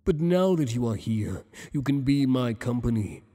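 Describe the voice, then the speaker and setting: A man's voice: medium pitch, lively intonation, dark tone, big-room sound, close to an everyday voice. A man voicing a teasing, possessive monster boss. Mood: flirtatious. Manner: teasing, flirtatious, slow and intimate. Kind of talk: ASMR roleplay.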